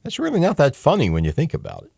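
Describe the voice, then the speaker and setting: A man's voice: fairly high pitch, huge pitch swings, rapid-fire, gravelly, darkish tone, breathy edge, no echo, studio quality. A middle-aged American man hosting talk radio, giving a casual, opinionated monologue in a folksy, conversational way with emphatic asides. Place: radio studio. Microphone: close studio microphone.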